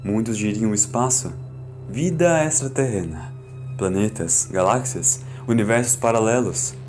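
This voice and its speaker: A Brazilian man narrating a scripted fan audiobook in a solemn, deliberate, dramatic way. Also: lowish voice, a little mellow, soft background music.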